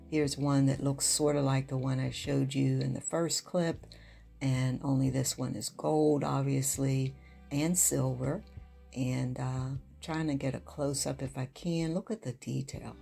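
A woman narrating a shop-with-me home decor video in a relaxed, chatty voice-over, with many ums and uhs. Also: very deep voice, creaky.

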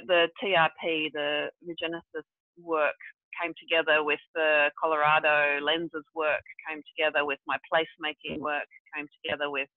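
A woman's voice: deep voice, no room sound. A woman who grew up in Brazil and South Africa, thinking aloud as a guest on a video call, with many fillers. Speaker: guest, regenerative development practitioner. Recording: tinny phone-like dry audio.

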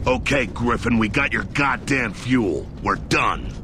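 An actor playing a gruff, hardened soldier and squad leader in a video game, with a gravelly voice and terse, growling commands. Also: male voice, mid-range voice, measured pace, background noise, close to an everyday voice. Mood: businesslike. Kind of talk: video game cutscene acting.

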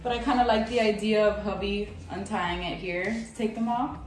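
A woman's voice: medium pitch, steady tone, normal speed. Chatty young woman in a very echoey room. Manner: matter-of-fact, chatty. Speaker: lingerie reviewer. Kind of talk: casual try-on review. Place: small bedroom indoors. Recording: very echoey room, faint music.

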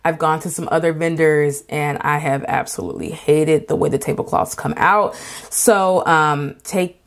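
A woman's voice: deep voice, fairly even intonation, moderate pace, creaky. A woman who is an artist, talking casually in a vlog with many fillers and restarts, giving emphatic advice. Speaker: artist and craft vendor.

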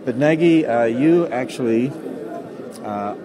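A chatty man in his sixties hosts a live interview on a handheld mic, with a mid-pitched voice and loose, joking speech full of fillers. Loud crowd chatter fills the conference lobby behind him. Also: expressive, takes it slowly. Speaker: host. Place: busy indoor conference lobby. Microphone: handheld microphone.

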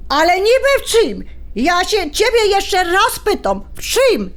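An actress playing a defiant older sister with a Polish highlander accent, blunt and combative in an audio drama. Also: female voice, huge pitch swings, unhurried, fairly bright tone, exaggerated voice. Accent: Polish highlander (Goral). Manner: combative, blunt, rural dialect. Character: feisty, defiant mature sister about to marry late.

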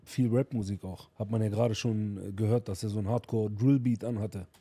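A man's voice: fairly low pitch, steady tone, fairly quick, dark tone, husky. A man streaming a reaction video, chatting casually and giving strong opinions to his viewers. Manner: chatty and opinionated, speaks to chat. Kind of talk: casual reaction chat to a live chat.

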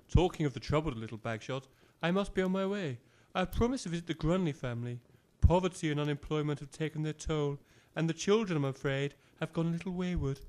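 An actor playing a genteel, effusive village vicar with an English accent, over-polite and fawning, in a comic audio drama. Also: male voice, a little high, close to an everyday voice.

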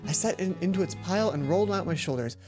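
A woman's voice: lowish voice, lively intonation, quick pace. A young adult woman reading a visual novel aloud as a let's play host, speaking into a headset in a room. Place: indoor room, seated at a computer. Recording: background game music.

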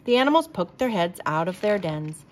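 Expressive woman reading a children's story. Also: on the low side. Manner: deliberate, expressive. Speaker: storybook reader. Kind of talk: children's story read-aloud.

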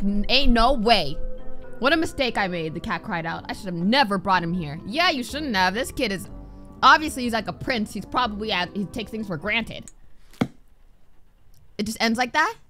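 A woman's voice: medium pitch, normal speed, pauses often, soft background music. A young adult woman giving casual, reactive gameplay commentary, talking to the game as she plays and sometimes reading aloud. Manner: animated, reactive, talks to the game. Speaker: gaming streamer.

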